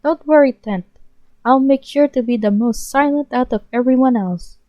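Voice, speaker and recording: A woman voicing a loud, devoted, excitable teenage boy sidekick in a storybook reading, on a muffled home recording. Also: sits high, normal speed, no echo, voice pushed up, close to an everyday voice. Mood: eager devotion. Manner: loud, whining, excitable calling out.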